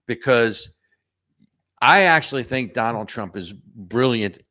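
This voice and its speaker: A man's voice: medium pitch, expressive, slow, pushed and bright, no room sound, studio quality. A man hosting a casual political talk show, rambling with frequent restarts and asking "right?" and "you know". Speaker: host.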